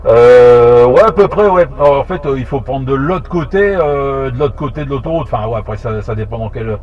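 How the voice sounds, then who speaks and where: A man chatting casually over amateur radio in a halting way, repeating words as he thinks. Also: medium pitch. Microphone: two-way radio transmission. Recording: muffled two-way radio, faint noise.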